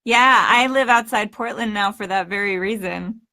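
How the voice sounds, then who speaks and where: A woman's voice: mid-range voice, normal speed, sharp tone. A woman voice coach hosting a chatty live interview. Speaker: host and voice coach.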